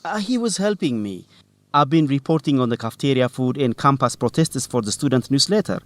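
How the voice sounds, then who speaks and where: Young male student reporter played by a man, earnest and apologetic, animated intonation, close dry radio drama sound. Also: mid-range voice, a little fast, no room sound, close to an everyday voice. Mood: worried, apologetic. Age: young adult. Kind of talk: radio drama dialogue for English learners.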